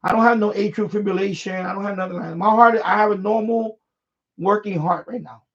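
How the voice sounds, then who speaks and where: Male streamer, high voice, unscripted and repetitive halting delivery, slightly muffled low-fidelity audio. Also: a bit bright. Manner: halting, repeats words and phrases often. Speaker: live stream host. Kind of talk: casual unscripted live-stream chat. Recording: dull, lo-fi microphone sound.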